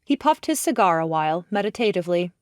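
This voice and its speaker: A woman's voice: lowish voice, very animated, quick pace, no echo, clean studio sound. A woman reading scripted literary narration as an audiobook narrator.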